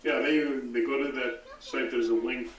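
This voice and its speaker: A man's voice: mid-range voice, steady tone, moderate pace. A middle-aged or older man explaining things casually as a guest on a live stream. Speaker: guest explaining a donor program. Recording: roomy, distant mic.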